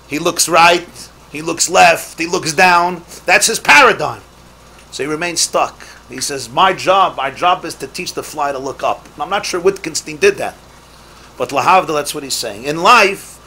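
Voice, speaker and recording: A man who is a rabbi giving an animated Torah class, stressing key points loudly and weaving in Hebrew terms. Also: fairly high pitch, slight background noise. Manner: emphatic, rises sharply for key points, mixes in Hebrew terms.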